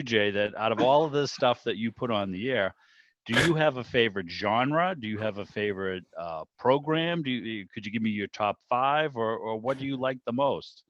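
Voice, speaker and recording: Male interviewer, lowish voice, discursive and chatty, heard over a dull, roomy video call. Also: a bit bright. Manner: rambling, discursive, circles around his point. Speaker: interviewer, club meeting host. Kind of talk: casual interview chat.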